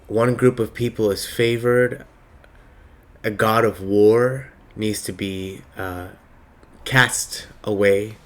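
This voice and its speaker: A man with a slightly raspy voice giving a slow, reflective spiritual monologue with many pauses. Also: on the low side. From Palestinian heritage. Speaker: spiritual reader.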